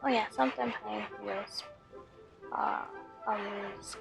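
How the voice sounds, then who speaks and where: Slow, gravelly-voiced woman gamer. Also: fairly even intonation, over music. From Philippines. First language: Tagalog. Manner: soft, lowered voice, slow, many fillers. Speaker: game streamer. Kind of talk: casual commentary over gameplay. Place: home room late at night. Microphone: headphone mic.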